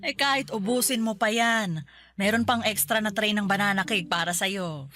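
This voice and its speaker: A woman's voice: mid-range voice, moderate pace, sharp tone, natural voice. Affectionate teasing Filipino mother, played by an actress. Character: affectionate, teasing mother of a college-age son. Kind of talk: Filipino radio drama dialogue. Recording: background music under the voice.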